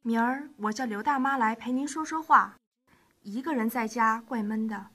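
A woman playing a proud, hard-working working-class wife with a sharp tongue in a radio drama. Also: fairly high pitch, moderate pace. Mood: gentle, caring. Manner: indignant, scolding, sharp-tongued. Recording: amateur radio drama with music underneath.

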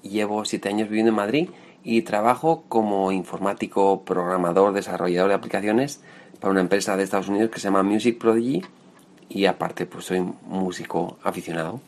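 A man's voice: sits low, a little fast. A man in his thirties from northern Spain, telling his story in an interview in a heartfelt, effusive way. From Navarre, Spain. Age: 30s. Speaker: interviewee, software developer and amateur musician. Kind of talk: personal interview.

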